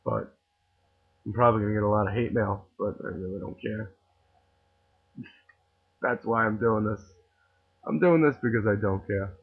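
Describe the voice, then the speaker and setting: A man's voice: lowish voice, moderate pace, pauses often, breathy edge. A man with a New York accent hosting a podcast, ranting in an opinionated, sarcastic way and rambling with frequent restarts. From New York City. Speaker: podcast host. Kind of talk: casual ranting monologue. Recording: tinny phone-like audio.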